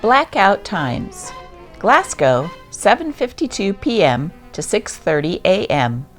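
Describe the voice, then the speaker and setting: A woman's voice: medium pitch, sing-song, takes it slowly. A woman hosting and reading old newspaper articles aloud as scripted narration. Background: music.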